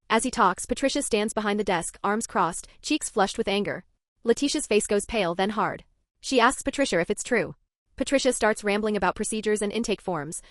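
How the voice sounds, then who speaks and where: A woman's voice: medium pitch, dry recording, studio quality. A woman narrating a first-person story in a tense, dramatic way, with scripted delivery.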